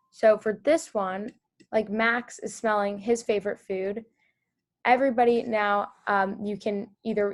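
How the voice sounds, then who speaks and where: A woman hosting a read-aloud for children over a video call, reading the story and asking them gentle questions. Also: medium pitch. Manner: gentle, encouraging, with small restarts.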